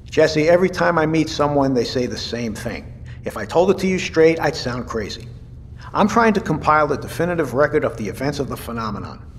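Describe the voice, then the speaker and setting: An actor playing an exacting, fatherly navy captain in an audio drama, speaking in a formal, measured, matter-of-fact way. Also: a little high, expressive, close to an everyday voice. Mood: authoritative, calm. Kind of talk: naturalistic audio drama dialogue. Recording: long hall reverb, faint noise.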